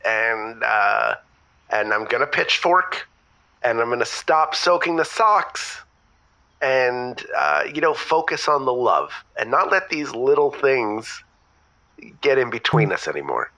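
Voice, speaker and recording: Actor as bright, stubborn bickering boyfriend. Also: male voice, medium pitch, expressive, slow, vocal fry, heavily acted, low-quality recording. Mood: earnest, hesitant. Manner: hesitant, insistent, pedantic. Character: earnest, stubborn bickering boyfriend calling a radio show. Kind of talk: scripted audio comedy drama.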